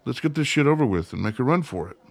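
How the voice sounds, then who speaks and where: A man plays an earnest grown son in a radio play. His voice sits at an average pitch and sounds dry and close. He is plain-spoken, pragmatic and pushes his point. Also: expressive, fast talker, gravelly, no room sound. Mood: insistent.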